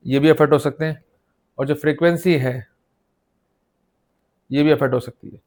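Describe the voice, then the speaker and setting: Indian guy teaching a class, voice a bit on the high side, emphatic, asks quick rhetorical questions, slips into english. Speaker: law lecturer. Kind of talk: explanatory lecture mixing Hindi and English, addressing students.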